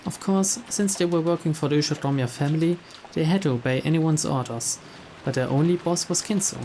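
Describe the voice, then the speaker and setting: A man's voice: on the high side, a little fast, dark tone. Man reading visual novel narration aloud. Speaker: narrator, game playthrough host. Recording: faint rain noise behind.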